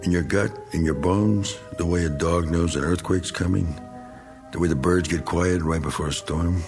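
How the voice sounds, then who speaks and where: An actor playing a bitter, self-pitying middle-aged American war veteran in a radio drama, talking in a folksy, rambling, needling way. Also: male voice, deep voice, fairly even intonation, moderate pace, music in the background. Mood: bitter, brooding.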